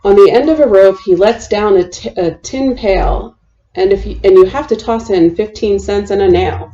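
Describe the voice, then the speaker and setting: A woman reading a rhyming children's story aloud in a deliberate, expressive storytelling manner. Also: medium pitch, lo-fi sound.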